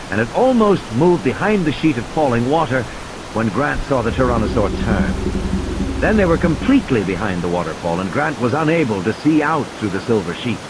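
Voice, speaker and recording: A man's voice: mid-range voice, background noise. A man reading a scripted adventure story as a dramatic audiobook narrator. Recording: music and sound effects behind the voice. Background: music and background sound effects.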